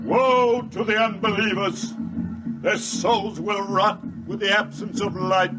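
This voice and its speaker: An actor playing a grim, zealous cult priest in a radio drama, declaiming solemnly like scripture. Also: male voice, high-pitched, fairly even intonation, slow pace. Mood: wrathful. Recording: loud music under the voice.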